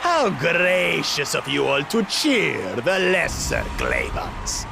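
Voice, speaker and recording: An actor playing an arrogant, hulking male reptilian warrior who mocks a crowd with a gravelly, taunting voice in a video game cutscene. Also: a little high, huge pitch swings, exaggerated voice. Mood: sneering. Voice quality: gravelly, sneering. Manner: mocking, taunting, slow and drawn out. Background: game score music.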